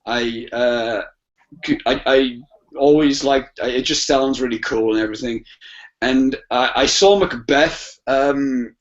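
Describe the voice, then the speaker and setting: A man's voice: medium pitch, a little slow. A man chatting casually about films as a podcast guest, rambling and often rephrasing himself. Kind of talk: casual film discussion. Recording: dull lo-fi audio.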